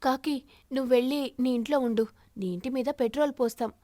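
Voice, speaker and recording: A woman's voice: high-pitched, fairly quick, warm tone, no echo, lightly acted. A woman voicing a firm, sensible sparrow who leads a bird flock in a cartoon, earnest and instructing.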